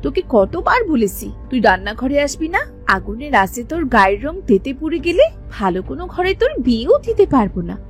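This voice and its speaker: A woman voicing a caring, fussing elder sister, a poor young village woman in an animated moral story. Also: sits high, quick pace, faint music behind. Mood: scolding. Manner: expressive, fussing, motherly.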